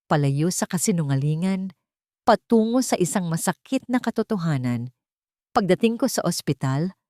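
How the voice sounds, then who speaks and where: A woman's voice: on the low side, darkish tone, no room sound, clean studio sound. A woman reading a dramatic scripted story as its narrator.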